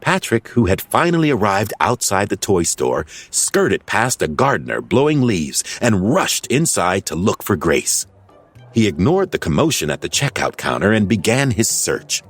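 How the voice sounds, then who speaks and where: Male children's audiobook narrator, expressive and low, with very animated pitch over soft music. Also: normal speed. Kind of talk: scripted children's storybook narration.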